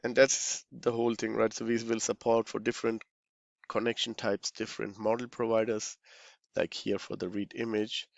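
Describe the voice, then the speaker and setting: A man giving an informal software walkthrough, halting and thinking aloud, often murmuring to himself as he works. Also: on the low side, little pitch movement, vocal fry, no echo. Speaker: software tutorial instructor.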